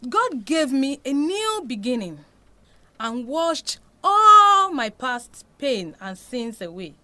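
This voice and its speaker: A woman's voice: high voice, sing-song, slow pace, sharp tone, no room sound, exaggerated voice. A woman playing an anguished, devout Nigerian mother confessing a painful past in an audio drama. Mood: solemn, pained.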